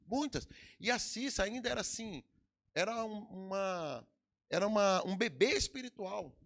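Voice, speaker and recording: A middle-aged Brazilian pastor preaches in a Brazilian Portuguese accent, his high voice leaping up and down across a wide range. A gravelly edge runs through his delivery as he surges into emphatic peaks and then drops low again. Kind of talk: sermon. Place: church hall.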